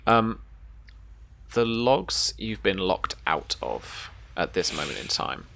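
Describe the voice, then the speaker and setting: A man improvising tabletop game narration as game master, with halting, hesitant phrasing. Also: low voice, very animated, gravelly, bright, forceful tone.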